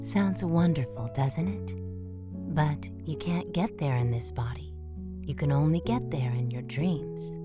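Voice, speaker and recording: Woman playing a low-voiced, gentle mother mouse. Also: measured pace, over music. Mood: wistful, tender. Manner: tender, patient, reassuring. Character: gentle mother mouse, soothing and wistfully devout. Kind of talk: audio drama dialogue.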